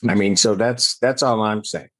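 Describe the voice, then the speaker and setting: A man's voice: on the low side, normal speed, a bit bright. A man speaking as a judge, explaining procedure in plain, informal words.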